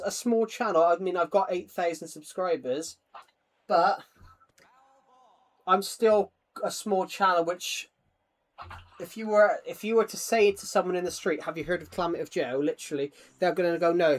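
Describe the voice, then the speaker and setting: Guy with a pretty high voice streaming games, rambly, trips over words, puts himself down a bit. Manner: rambling, self-deprecating, stammering repeats. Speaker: live streamer. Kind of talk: casual livestream chat while gaming, reading out viewer comments.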